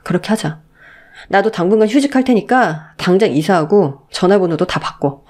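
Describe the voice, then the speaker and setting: A woman's voice: medium pitch, expressive, moderate pace, a little mellow, lightly acted. A woman acting a blunt, protective husband. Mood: resolute, subdued. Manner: blunt, decisive, earnest. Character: protective, indignant husband, adult man. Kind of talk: melodramatic story acting.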